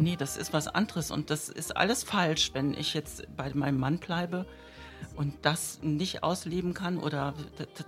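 A woman in her late fifties from Germany, telling her personal story candidly and with animation, with soft music underneath. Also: deep voice. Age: 50s. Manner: candid and lively, with laughs. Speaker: interviewee.

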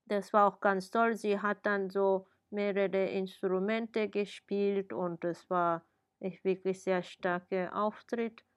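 A woman hosting a radio interview, warmly enthusiastic and hesitant, with many fillers. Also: medium pitch. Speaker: radio show host.

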